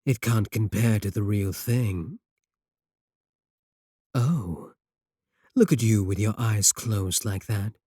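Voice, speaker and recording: A man playing a seductive, possessive evil warlock in an intimate romantic audio roleplay, teasing and deliberate, with a husky voice. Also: lowish voice, warm tone, no echo, studio quality. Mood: possessive, pleased. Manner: slow, teasing, deliberate, with drawn-out phrasing.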